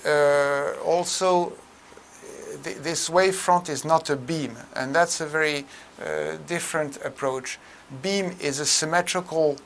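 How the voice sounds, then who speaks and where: A man presenting a technical tutorial in an explanatory way, with a halting, hesitant delivery full of mid-phrase pauses. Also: on the high side, fairly bright tone, a little hiss or hum.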